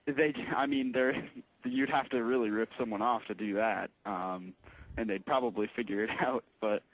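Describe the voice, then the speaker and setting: A man working as a tech support employee on a call, explaining things patiently in a halting, informal way. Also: medium pitch, fairly even intonation, rough recording. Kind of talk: casual explanatory phone conversation.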